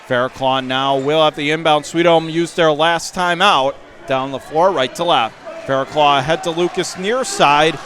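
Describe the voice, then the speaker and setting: A man calling live basketball play-by-play for radio in clipped, urgent phrases that rise into excited calls. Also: fairly high pitch, a little slow. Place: indoor high school basketball gym. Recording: game crowd and gym noise behind.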